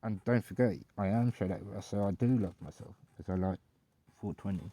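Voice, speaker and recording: A man's voice: lowish voice, fairly quick, dark tone, close to an everyday voice. A man playing a laid-back, self-loving stoner alter ego, murmuring advice.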